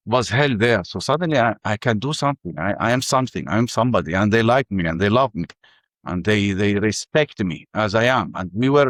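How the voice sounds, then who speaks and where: Older guy w arabic accent, low voice, dry close sound, keeps restarting. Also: no echo. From Ramallah. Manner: halting, many restarts and fillers. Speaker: interview guest. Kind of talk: personal testimony.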